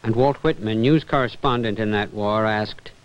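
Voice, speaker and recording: A man's voice: on the low side, normal speed, clear, resonant tone, lo-fi sound. A man delivering formal scripted narration as he introduces literary readings.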